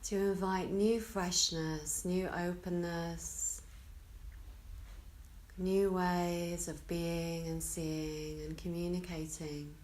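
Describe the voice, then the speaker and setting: Female yoga nidra guide, lowish voice, very slow and soothing delivery, short phrases separated by long pauses. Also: level tone, a little mellow, low-quality recording. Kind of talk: guided relaxation meditation.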